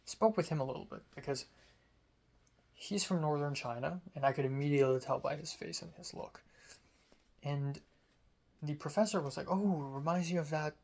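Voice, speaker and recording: A man's voice: on the high side, creaky, a little mellow. A young man, a college student, telling a rambling, self-amused story in a casual vlog. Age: young adult.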